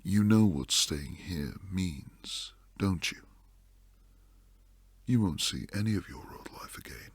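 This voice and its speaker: A man playing a protective, devoted bodyguard and secret lover in an intimate boyfriend roleplay, low and husky, speaking earnestly close to the mic. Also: expressive, slow, dry recording, heavily acted. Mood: pleading, tender. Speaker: audio roleplay performer. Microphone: close, dry studio mic.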